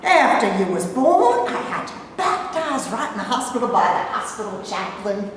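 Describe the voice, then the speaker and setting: In a ringing, slightly noisy hall, an actress plays a brash Southern mother on stage. She scolds and insists with drawn-out emphasis, her highish voice swinging widely. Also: big pitch swings. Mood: insistent, domineering. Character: domineering Southern birth mother, brash, proud, self-justifying. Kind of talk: staged reading of a play. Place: live staged reading in reverberant room. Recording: echoey hall with background noise.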